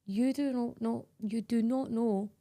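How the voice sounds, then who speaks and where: A woman's voice: fairly high pitch, fairly even intonation, unhurried, mellow. A Scottish woman hosting a podcast, talking candidly and emphatically in casual interview chat. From Scotland. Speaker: podcast host.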